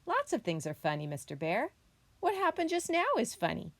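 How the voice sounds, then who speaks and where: A woman voicing a playful, excitable little boy possum in a children's storybook. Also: fairly high pitch, moderate pace, gravelly, dry recording, pitched above the performer's own voice, heavily acted. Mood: reassuring.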